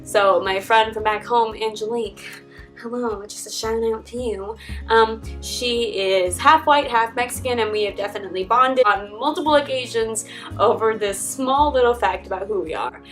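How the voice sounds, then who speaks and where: A young American woman with a fairly high voice tells personal stories in an animated, casual vlog style. She sounds a little away from the mic, with soft music behind her. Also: normal speed. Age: young adult. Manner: animated, acts out quoted conversations. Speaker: vlogger telling personal stories. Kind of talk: casual chat to camera. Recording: distant mic, background music. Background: acoustic folk instrumental music.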